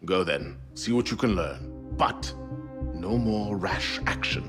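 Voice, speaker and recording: An actor playing a stern but fair male mentor of a council in a video game, formal and measured. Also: low-pitched, animated. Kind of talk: video game cutscene acting. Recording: music behind the voice.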